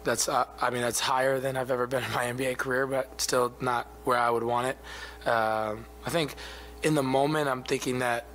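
A man's voice: medium pitch, normal speed, slight background noise. A man in his twenties, a basketball player, answering questions at a postgame press conference with many fillers, speaking into a table mic in an arena press room. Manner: soft-spoken, even, many fillers. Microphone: table mic in front of him.

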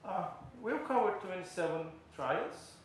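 A middle-aged man lectures from a podium microphone at a conference. His voice sits at an ordinary pitch and he explains patiently, filling gaps with "uh". The large hall gives a strong echo over a faint hum of ambience. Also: lively intonation, a little slow. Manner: explanatory, frequent uh fillers, non-native phrasing. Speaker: keynote speaker.

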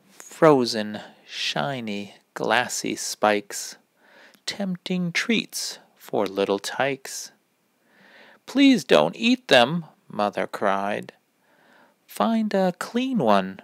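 A man reading a rhyming children's picture book aloud in a deliberate, instructive manner. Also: mid-range voice, big pitch swings, gravelly, no room sound. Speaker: read-aloud reader.

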